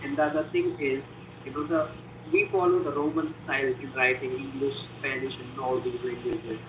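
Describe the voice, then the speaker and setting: A man's voice: medium pitch, level tone, moderate pace, roomy sound, far from the mic, dull audio, noisy background. A man whose first language is Hindi, answering practice speaking questions on a video call in loose, filler-heavy sentences. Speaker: IELTS speaking practice candidate. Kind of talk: online practice speaking session.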